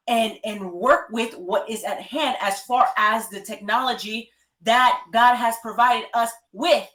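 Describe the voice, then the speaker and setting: Animated woman guest in an echoey room. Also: fairly high pitch. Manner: animated, emphatic. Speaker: guest, author and life coach. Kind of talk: interview.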